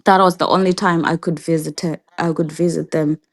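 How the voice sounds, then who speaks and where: A woman in her thirties from Zimbabwe, answering interview questions in a reflective way with frequent pauses and restarts. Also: low voice, fairly even intonation. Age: 30s. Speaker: guest.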